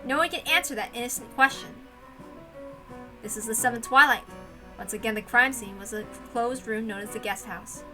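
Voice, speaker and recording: A woman's voice: on the high side, low-quality recording. A woman reading a visual novel aloud as dramatic scripted narration. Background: music.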